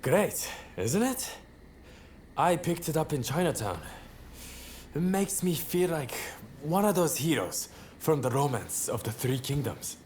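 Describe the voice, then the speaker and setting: An actor playing a bold, charming young samurai rebel leader in a video game cutscene, relaxed and self-assured. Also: male voice, a little high, unhurried, a little hiss or hum. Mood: easygoing, charming.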